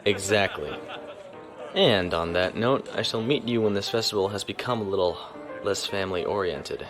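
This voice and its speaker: A man's voice: on the low side, moderate pace, over music, crowd noise, close to an everyday voice. An actor playing a stiff, formal young male naval officer who speaks properly and reservedly.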